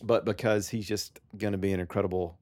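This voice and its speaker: A man's voice: low voice, fairly even intonation, fairly quick, a little mellow, dry recording. A man hosting a church podcast, chatting casually with many "uh"s and restarts in a studio. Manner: friendly, filler-heavy, restarts often. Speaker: podcast host.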